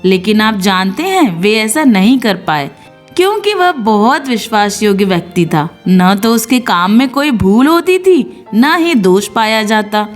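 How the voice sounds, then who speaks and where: A woman's voice: mid-range voice, very animated, measured pace. A woman reading a scripted devotional Bible story in an earnest, storytelling manner. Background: background music.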